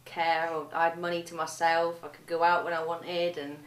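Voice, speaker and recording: A woman's voice: on the low side, little pitch movement, normal speed, distant mic. A 22-year-old woman speaking candidly in a documentary interview, informal and hesitant, often correcting herself. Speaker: young mother being interviewed. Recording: echoey room with faint background noise.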